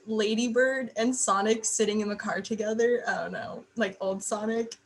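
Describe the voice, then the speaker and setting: A young woman guest chatting casually, rambling and trailing off softly. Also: medium pitch, steady tone, moderate pace. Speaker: podcast guest. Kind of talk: casual chat.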